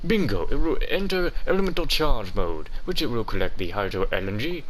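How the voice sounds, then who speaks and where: A man's voice: medium pitch, big pitch swings, fairly quick, slight background noise, natural voice. A man voicing a chatty young male inventor in a video game, explaining things theatrically. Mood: eager, explaining. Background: game music.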